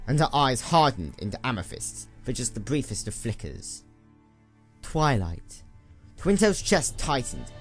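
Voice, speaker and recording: A man reading scripted fiction as a dramatic story narrator. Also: medium pitch. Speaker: audiobook narrator.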